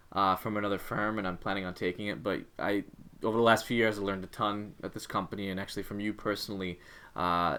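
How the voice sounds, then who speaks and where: Man playing a polite low-voiced employee. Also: fairly bright tone, lightly acted. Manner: courteous, diplomatic, composed. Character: polite, firm male employee resigning from his job. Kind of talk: workplace role-play.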